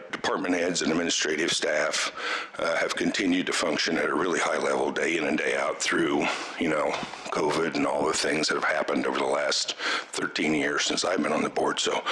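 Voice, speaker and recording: Middle-aged man with a cold chairing a board meeting, very low but bright, forceful voice, halting thanks with lots of ums. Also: slight background noise, rough recording. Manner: halting, many fillers and restarts, with coughs. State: has a cold, voice strained. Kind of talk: farewell remarks at a public board meeting. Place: meeting room.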